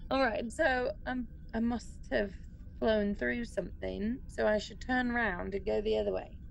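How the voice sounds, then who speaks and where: A woman's voice: on the high side, steady tone, moderate pace, gravelly, slight background noise, low-quality recording. A woman chatting casually as a player in a tabletop role-playing game, hesitantly thinking aloud.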